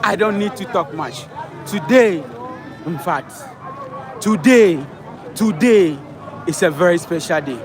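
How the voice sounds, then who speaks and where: A man's voice: high-pitched, lively intonation, takes it slowly, long pauses. A man vlogging fervently from a street march, repeating his rallying lines. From Ghana. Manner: fervent, repetitive rallying speech. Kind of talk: outdoor street vlog commentary. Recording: loud crowd noise outdoors. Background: chanting crowd and sirens.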